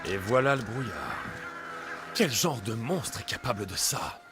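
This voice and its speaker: An actor playing a determined, protective ancient Greek mercenary in a video game. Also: male voice, lowish voice, sing-song, measured pace, over music. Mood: grim, appalled.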